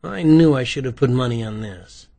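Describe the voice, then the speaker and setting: A man's voice: medium pitch, expressive, moderate pace, lightly acted. A man voicing a teasing, theatrical wisecracker who tags along with police, in an audiobook. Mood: smirking.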